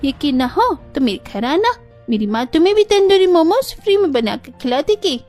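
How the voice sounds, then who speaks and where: Woman voicing a very high-pitched little schoolboy. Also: very animated, moderate pace, darkish tone. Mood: boastful. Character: proud, sulky little schoolboy of a poor family. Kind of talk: cartoon dialogue acting. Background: background music.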